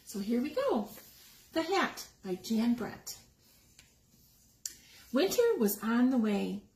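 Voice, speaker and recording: A woman reading a picture book aloud to young children, expressively, pausing to explain the pictures and ask questions. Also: fairly high pitch, big pitch swings, darkish tone. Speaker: story time reader. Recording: echoey room with background noise.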